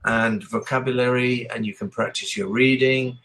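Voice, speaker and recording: A man's voice: mid-range voice, fairly even intonation, moderate pace, a bit bright. A man with an English accent chatting casually and encouragingly as an online tutor, often repeating and rephrasing his advice over a video call. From England. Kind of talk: casual one-to-one lesson chat.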